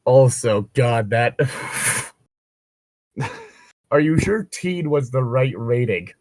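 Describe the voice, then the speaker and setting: A man's voice: medium pitch, expressive, takes it slowly, a little mellow, no room sound. A man reading a story aloud as a co-host, slipping into casual, sarcastic, mock-exasperated asides. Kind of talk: read-aloud narration with casual joking commentary.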